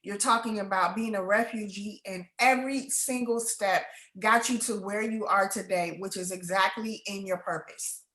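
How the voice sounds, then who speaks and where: A woman hosting a conversation, a writing coach, speaking in a heartfelt, halting way. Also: medium pitch, a bit bright. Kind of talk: casual conversation. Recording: echoey room.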